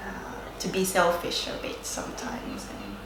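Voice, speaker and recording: A woman from Japan with a Japanese accent, a native Japanese speaker giving reflective, unscripted interview answers, hesitant and searching for words with frequent pauses. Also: on the low side, very animated. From Sendai area, Japan. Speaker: interviewee, yoga and Japanese teacher. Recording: roomy echo, clear background noise.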